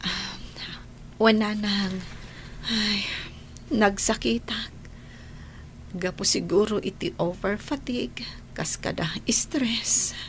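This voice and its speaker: A woman's voice: medium pitch, steady tone, slow, long pauses, a little hiss or hum, lightly acted. A woman playing a weary, self-sacrificing migrant worker in a radio drama, with a Filipino accent and a plaintive, yielding manner.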